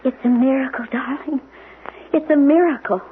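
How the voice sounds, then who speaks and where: A woman's voice: high-pitched, moderate pace, noisy background, lightly acted. A woman playing a wry, doting wife. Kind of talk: 1970s radio drama acting. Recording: tinny old radio broadcast.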